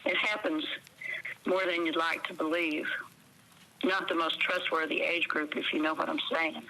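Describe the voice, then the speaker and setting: A woman's voice: low-pitched, fairly even intonation, normal speed, pushed and bright, poor audio. A woman playing a guarded, blunt park ranger investigator, answering interview questions matter-of-factly with an American accent. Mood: guarded, wry.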